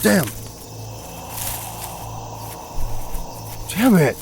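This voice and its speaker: A cocky young male vlogger acted by a man, pitched high with lively, swinging delivery. He talks in crude, slangy hype like an online ghost hunter in an audio drama, with music underneath. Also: moderate pace, vocal fry, exaggerated voice. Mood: pained, flustered. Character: brash, crude, hype-driven young male ghost-hunting video host. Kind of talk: audio drama acting as a video host.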